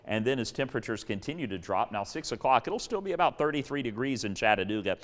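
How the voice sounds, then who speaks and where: A man's voice: mid-range voice, animated, fast, fairly bright tone, in a large hall. A man presenting a TV weather forecast in a conversational, explanatory way.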